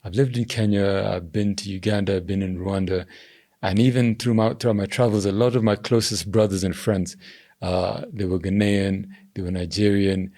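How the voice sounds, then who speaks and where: A young man from Zambia, a governance strategist giving measured interview answers that he often hedges with "I think.". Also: fairly low pitch, steady tone, mellow. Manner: measured, hedging with "I think" and "you know". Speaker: podcast guest, governance strategist.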